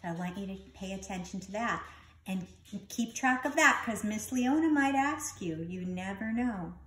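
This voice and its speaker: A woman reading a picture book aloud to young children in an expressive, instructive teacher's way, asking questions and repeating key words. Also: medium pitch, normal speed, a little hiss or hum.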